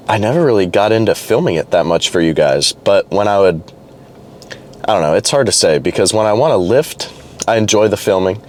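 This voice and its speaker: A young man vlogging casually to camera, a little hoarse and congested from a cold, rambling loosely with restarts and fillers. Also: low voice. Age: young adult. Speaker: fitness vlogger. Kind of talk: casual vlog talk to camera.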